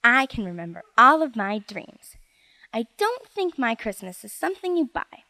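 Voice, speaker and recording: Storybook kid: high, lively little boy, earnest and breathless, voiced by a woman narrator. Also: normal speed, no room sound, heavily acted. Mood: thoughtful. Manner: breathless, eager, childlike. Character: excited little boy, wide-eyed and earnest.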